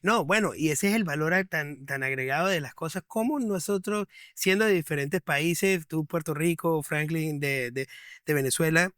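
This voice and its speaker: A man's voice: fairly high pitch, moderate pace, fairly bright tone, no echo, clean studio sound. A man hosting a podcast interview, asking questions and summing up answers, often stumbling over repeated words. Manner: eager, stumbling repetitions, rephrases answers. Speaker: podcast host.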